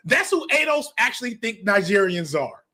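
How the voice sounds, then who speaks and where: An American man giving opinionated, off-the-cuff commentary in an animated, emphatic, argumentative way, as a video essayist. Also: high-pitched, normal speed. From United States.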